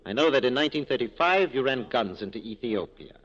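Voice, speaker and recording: An actor playing a wryly corrupt police captain with an urbane, teasing, courtly manner, in 1940s radio style. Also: male voice, medium pitch, animated, quick pace, a bit bright. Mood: wry, self-assured. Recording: muffled 1940s radio broadcast recording.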